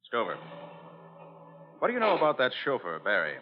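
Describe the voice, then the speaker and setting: An actor playing a confident, courteous, well-spoken detective who questions briskly in a 1940s radio drama. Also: male voice, medium pitch, huge pitch swings, music in the background. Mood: calm, decisive. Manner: assured, probing, well-spoken. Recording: muffled old radio broadcast.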